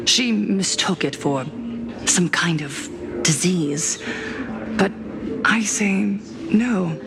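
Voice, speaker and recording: An actress playing a traumatised young woman turned eerie media mogul in a video game, with a slow, breathy, theatrical delivery. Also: fairly low pitch. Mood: dreamy, eerie. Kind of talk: scripted video game voice acting. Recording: loud music behind.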